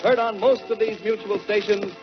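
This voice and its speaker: High-pitched man, vintage American radio announcer. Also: fairly even intonation, normal speed. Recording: dull vintage 1940s radio, music behind.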